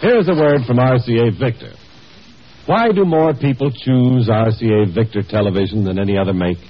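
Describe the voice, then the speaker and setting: A man's voice: medium pitch, lively intonation, normal speed, highs cut off, a little hiss or hum. A man reading a scripted commercial as a 1950s radio announcer on an old broadcast.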